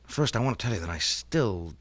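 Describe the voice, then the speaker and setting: A man's voice: on the low side, animated, brisk, fairly bright tone, no echo. An actor playing a shaken young man whose father was just murdered, speaking in rattled, hesitant bursts in a 1970s radio drama. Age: young adult. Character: young adult son, shaken and earnest.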